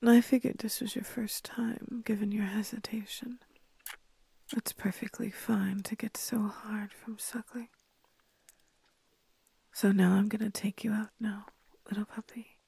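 A woman voicing a doting, motherly female creature with wings and a tail, in a soft, breathy, soothing whispered ASMR roleplay. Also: mid-range voice, level tone, measured pace, pauses often, no room sound, full character voice. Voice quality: breathy, husky.

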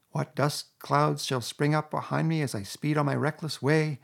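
Guy doing a giddy posh toad, way up high and loud, gushing and swooning, kinda husky. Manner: rapturous, gushing, swooping high. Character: boastful dreamy male toad, gentleman.

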